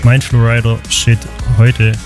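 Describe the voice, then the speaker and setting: A man's voice: medium pitch, completely flat delivery, a little slow. A man from southern Germany hosting a country music radio show, speaking in an earnest, personal way between songs. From Hohenlohe, Baden-Württemberg, Germany. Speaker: radio host. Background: music bed.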